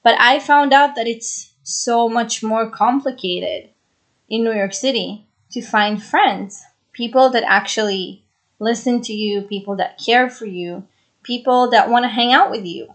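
A woman's voice: fairly high pitch, unhurried. A woman in her twenties from Brazil, raised in Israel, hosting a solo podcast in an earnest, chatty way and repeating phrases for emphasis. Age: 20s. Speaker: podcast host.

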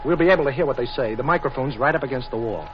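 An actor playing a wisecracking, cool-headed adventurer hero in a 1940s radio drama. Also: male voice, mid-range voice, very fast, natural voice. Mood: brisk, reassuring. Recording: muffled, hissy 1940s radio recording.

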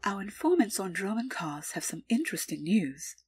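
Husky, poised underworld informant. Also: female voice, medium pitch, very animated, a little mellow. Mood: cool, deliberate. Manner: formal, measured, precise wording. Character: poised, calculating female underworld information broker, deferential. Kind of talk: audio drama acting.

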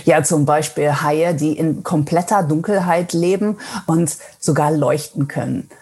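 A woman from the Netherlands, raised bilingual in Dutch and German, talks in an interview with a low, slightly husky voice. She speaks with eager enthusiasm and plenty of fillers, as a guest describing her filmmaking. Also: a little slow, warm tone. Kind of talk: casual interview. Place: remote from Sweden.

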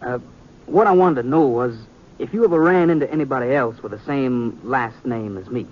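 A man's voice: mid-range voice, natural voice. Male actor for an earnest young Old West sheriff, reserved and polite, American, muffled radio drama with faint noise. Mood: guarded, probing. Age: young adult. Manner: courteous, reserved, deliberate. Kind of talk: 1950s radio Western acting. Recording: muffled noisy 1950s radio recording.

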